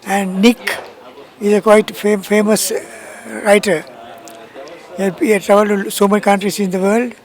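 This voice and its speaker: A man's voice: sits high, level tone, moderate pace, long pauses. A man, a cricket official, giving an interview comment and often stumbling over and repeating words.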